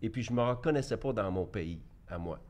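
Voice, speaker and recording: A man's voice: lowish voice, expressive, fairly quick, a little mellow. A man in his fifties with a Québécois accent, giving his blunt opinions as a guest in a debate, often restarting his sentences. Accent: Québécois French. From Quebec. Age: 50s. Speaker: guest, entrepreneur. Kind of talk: debate interview.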